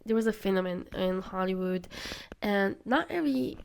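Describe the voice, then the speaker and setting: A woman's voice: medium pitch, animated, moderate pace, creaky, smooth. A young woman who is sick, hosting a solo podcast and giving her opinions in an earnest way. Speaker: podcast host.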